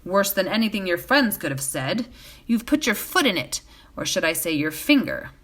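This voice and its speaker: A woman voicing a knowing, secretive male wanderer in a storybook, with a dry, measured, reproving manner. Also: medium pitch, big pitch swings, a bit bright.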